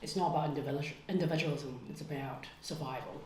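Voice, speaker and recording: A woman's voice: low-pitched, quick pace, reverberant, crowd noise. A woman in her thirties from Hong Kong, a podcast guest chatting bluntly at home, swearing freely and laughing often. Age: 30s. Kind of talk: casual chat.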